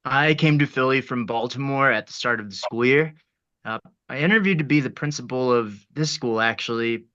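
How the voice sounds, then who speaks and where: An actor as an earnest young man working as a substitute teacher. His voice is bright and a little tense, with a hesitant, awkward delivery. The recording sounds somewhat dull and lo-fi. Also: mid-range voice, moderate pace, natural voice. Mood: dry. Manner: hesitant, flustered. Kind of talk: script table read.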